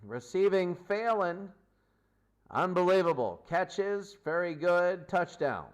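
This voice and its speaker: A man's voice: sits high, sing-song, takes it slowly. A man giving an unscripted sports stats recap as host, listing figures in short, clipped phrases. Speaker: sports show host and commentator.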